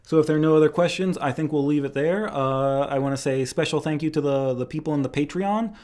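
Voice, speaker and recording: A man's voice: fairly high pitch, brisk, darkish tone. A young man teaching Chinese medicine in a casual live-stream review, rambling a bit with restarts, his voice tired after two hours of lecturing.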